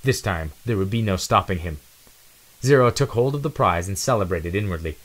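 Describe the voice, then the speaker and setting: A man's voice: deep voice, lively intonation, a little fast. A man giving a dramatic scripted reading of an adventure storybook as narrator.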